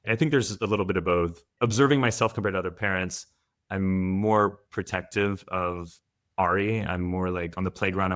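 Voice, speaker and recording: A man's voice: low voice, fairly bright tone. A man from France, a guest father, giving thoughtful, candid answers in a podcast interview.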